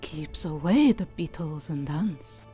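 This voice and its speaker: A woman's voice: low-pitched, lively intonation, takes it slowly, dark tone, breathy edge, heavily acted. A woman playing a watchful harpy in an audiobook, speaking matter-of-factly with music behind her. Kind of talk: audiobook character acting.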